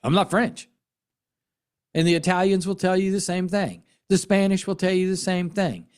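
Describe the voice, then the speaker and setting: A middle-aged man with an American accent, delivering an impassioned commentary monologue in an earnest, emphatic way with dramatic pauses and repetition. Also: sits high, smooth. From United States. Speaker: political commentary host and military veteran.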